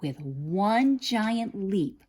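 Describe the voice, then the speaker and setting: A woman narrating a children's story in a dramatic, deliberate, expressive way. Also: medium pitch, slightly rough. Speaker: storybook reader.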